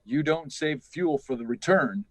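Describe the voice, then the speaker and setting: A man's voice: fairly high pitch, unhurried, a bit bright, no echo. Stern, dry-sounding actor as a male project chief. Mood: grave, insistent. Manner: insistent, instructing. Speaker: radio drama actor. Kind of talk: 1950s radio drama acting.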